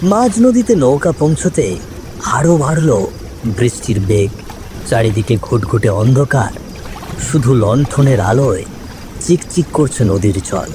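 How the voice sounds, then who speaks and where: Animated male storyteller over rushing water. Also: mid-range voice. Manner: suspenseful, expressive storytelling. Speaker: storyteller, narrator. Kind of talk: scripted dramatic ghost story narration. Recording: clear rain and river water noise.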